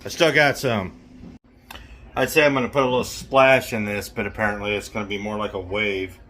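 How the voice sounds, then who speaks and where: A man's voice: on the low side, fairly even intonation, a little fast, fairly bright tone. A man hosting a hands-on repair video in a casual, folksy, jokey way with colloquial wording. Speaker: host of a CB radio repair video.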